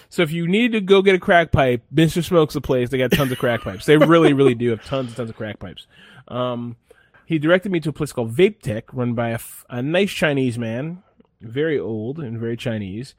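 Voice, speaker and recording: A man's voice: medium pitch, lively intonation, normal speed, no room sound. Laid-back rambling man, dry close sound. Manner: rambling, laid-back storytelling with many fillers and restarts. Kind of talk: casual chat.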